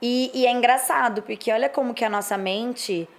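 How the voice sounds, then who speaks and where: A woman's voice: fairly high pitch, moderate pace, creaky, a crowd in the background. A woman in her thirties from Brazil, co-hosting a casual chat and speaking candidly and reflectively in long stretches. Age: 30s. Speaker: co-host.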